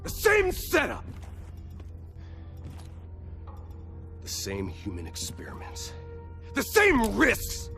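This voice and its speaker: Volatile rogue soldier, wildly swinging pitch. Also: male voice, wildly swooping pitch, unhurried, creaky, sharp tone, soft background music, exaggerated voice. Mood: enraged. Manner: intense, emphatic, confrontational. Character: obsessed, volatile soldier gone rogue, adult man, menacing. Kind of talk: dramatic video game cutscene acting.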